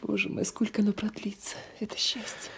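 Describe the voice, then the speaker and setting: A woman's voice: medium pitch, level tone, a little fast, breathy edge. An actress playing a fearful, lovesick young married woman in a radio drama, hesitant and often whispering. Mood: anguished. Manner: hesitant, trembling, repeating words. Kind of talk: 1980s radio drama acting.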